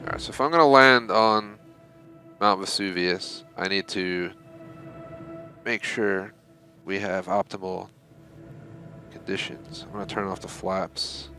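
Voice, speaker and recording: A man's voice: low voice, fairly even intonation, a little slow, long pauses, pushed and bright, soft background music. A young man giving casual, rambling live commentary while playing a game, swearing when frustrated. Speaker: game streamer.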